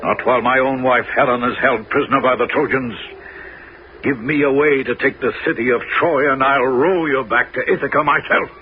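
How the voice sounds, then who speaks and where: An actor playing a forceful Greek king bent on war in a 1970s radio drama. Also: medium pitch, moderate pace, natural voice. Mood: insistent. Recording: dull, faintly noisy old radio recording.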